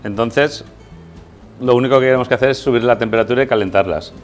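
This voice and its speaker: A middle-aged man with an accent from Spain talks through a recipe as a guest chef. His delivery is informal and instructional, at a medium pitch. Faint music plays behind him along with the sizzle of cooking. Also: fast. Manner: explanatory, instructional. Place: indoors in a tiled kitchen set.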